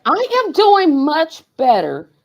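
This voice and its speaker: A woman's voice: high-pitched, huge pitch swings, slow pace, creaky. A woman in her forties hosting a podcast interview, talking in a playful, self-teasing way. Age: 40s. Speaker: podcast host. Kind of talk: casual interview chat.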